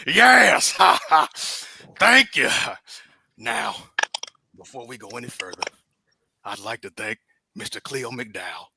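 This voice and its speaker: A man playing an exuberant preacher hosting a pageant in a comedy table read, raspy and punctuating phrases with "ha". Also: medium pitch, heavy background noise. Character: exuberant flamboyant male preacher emceeing a pageant.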